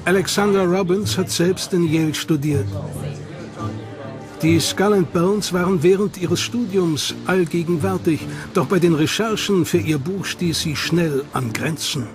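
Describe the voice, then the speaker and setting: A man narrating a documentary in a measured, dramatic way. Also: high voice. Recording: narration over loud music.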